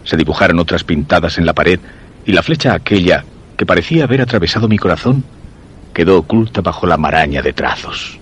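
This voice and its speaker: An actor from radio theatre playing an obsessed, lovelorn commuter who narrates his search in brooding, deliberate Castilian Spanish. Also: low voice, lively intonation, slight background noise. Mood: anxious, obsessed. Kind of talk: dramatic first-person radio theatre narration.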